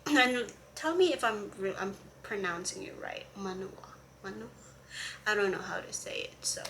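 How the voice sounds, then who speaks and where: A woman's voice: medium pitch, expressive, normal speed, creaky, noisy background. A woman vlogger from Hawaii chatting casually about a comic she is reading, exasperated and rambling, with many fillers and restarts.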